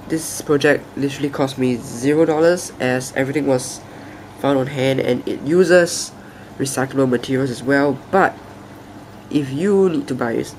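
A young man with a croaky, soft voice after a flu, hosting a casual hobby tutorial and explaining each step with small hesitations and restarts. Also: mid-range voice, a little hiss or hum. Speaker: aquarium hobby channel host. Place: indoors at a table.

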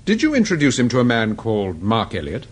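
An actor playing a courteous gentleman detective with an English accent, questioning in radio drama style. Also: medium pitch, huge pitch swings, brisk, husky. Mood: calm probing. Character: suave, probing gentleman amateur detective.

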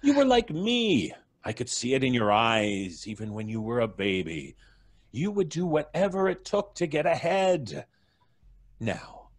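Smug, wildly expressive villain father. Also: medium pitch. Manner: sweetly condescending, drawn-out. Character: smug, manipulative villain father, falsely doting. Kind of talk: horror audio drama acting.

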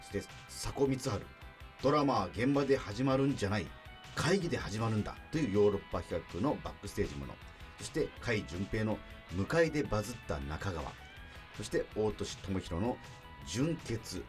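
Deep, animated male radio host. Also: brisk. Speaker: radio show host, actor. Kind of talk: scripted radio hosting with casual chat. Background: background music.

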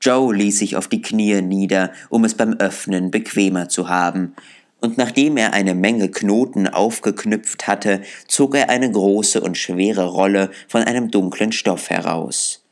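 A male narrator reads an audiobook in an unhurried, deliberate way. His voice sits low, and he takes each phrase carefully. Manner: measured, deliberate storytelling. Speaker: audiobook reader. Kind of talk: scripted narration.

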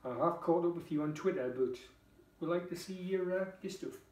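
A wry, talkative Geordie man in his fifties, reviewing a beer at his kitchen table. His voice sits a little high for a man and is caught by a camera across the room, so it sounds distant and echoey. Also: brisk, a little mellow. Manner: chatty, wry, swears casually. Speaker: beer vlogger. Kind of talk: casual beer review chat. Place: home kitchen, indoors.

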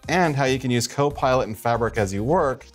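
A man's voice: mid-range voice, expressive, fairly quick, soft background music. A man in middle age hosting a tech show, presenting in a scripted style from a studio desk. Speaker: show host.

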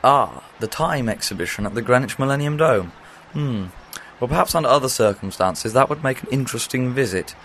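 A man playing an eccentric, brisk time traveller. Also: mid-range voice, animated, a bit bright, slight background noise.

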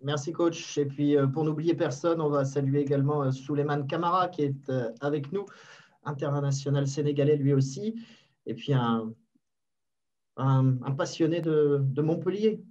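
A man's voice: on the high side, darkish tone. Man moderating, distant dull audio. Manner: halting, many fillers and restarts. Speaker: conference moderator. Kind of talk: online conference hosting. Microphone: headset on video call.

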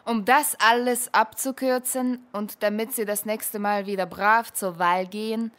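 An actress plays a female government minister in a radio drama. She is cold and commanding, speaking in a medium-pitched voice with businesslike briskness. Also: fairly even intonation, fairly bright tone, slight background noise, natural voice. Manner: brisk, matter-of-fact, commanding. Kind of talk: radio play acting.

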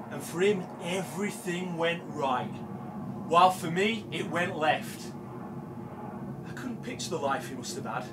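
An actor playing a bitter, obsessive down-and-out man in a live audio drama monologue, halting and intense as he confesses. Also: high voice, background noise. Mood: brooding. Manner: halting, brooding, intense storytelling. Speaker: stage actor. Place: small dark café venue with seated audience. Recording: distant live café stage recording, music. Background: music.

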